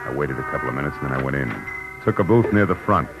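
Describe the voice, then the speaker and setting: A very low-pitched, tough American private eye in an old radio mystery. He is world-weary and sardonic, wry and clipped, with music playing behind him. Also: expressive, very fast. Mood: moody narration. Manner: wry, clipped, slangy delivery. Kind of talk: 1940s-50s radio detective drama, first-person narration.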